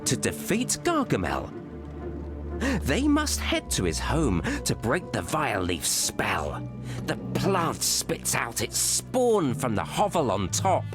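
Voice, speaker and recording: Smurf poet narrator, rhyming storybook style, theatrical and singsong, huge pitch swings, slow delivery, guitar music behind. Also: male voice, wildly swooping pitch. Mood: storytelling. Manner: theatrical, singsong, trails off into bashful asides. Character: cheerful male Smurf poet, small blue storyteller. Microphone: studio voice-over. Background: gentle guitar music.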